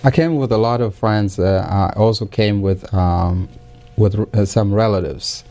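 A middle-aged man, not a native English speaker, giving careful and hesitant interview answers with many pauses and restarts. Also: on the low side, dark tone. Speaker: interview guest.

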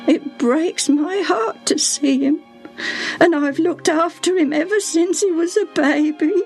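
A woman's voice: high voice, slow pace, a little mellow, soft background music, voice pushed up. A woman voicing a faithful, grieving servant woman in a storybook reading, sobbing and lamenting in a folksy way. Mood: heartbroken. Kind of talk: dramatised Bible storybook reading.

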